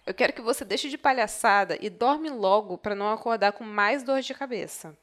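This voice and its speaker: A woman's voice: medium pitch, moderate pace, fairly bright tone, natural voice. A woman with a Brazilian accent playing a caring, slightly exasperated woman in a naturalistic horror audio drama. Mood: casual, exasperated.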